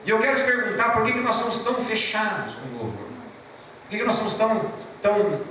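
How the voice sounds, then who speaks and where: A man with a Brazilian Portuguese accent, a pastor preaching a sermon emphatically, repeating key phrases slowly and telling a story with animated rises and drops. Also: high voice, slight background noise. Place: church hall. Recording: echoey church from far off.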